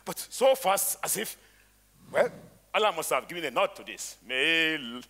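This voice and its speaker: A man with a Nigerian accent acting on stage as an anguished, excitable old retired palace guard, with a raspy, theatrical voice. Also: fairly high pitch, normal speed, full character voice. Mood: wary, questioning. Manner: exclamatory, theatrical.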